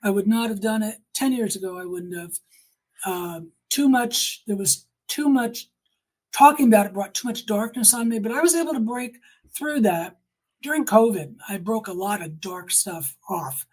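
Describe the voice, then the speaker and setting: An older woman giving personal testimony in conversation as an interview guest, speaking emphatically with deliberate repetition. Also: medium pitch, expressive, a little mellow, breathy edge, poor audio.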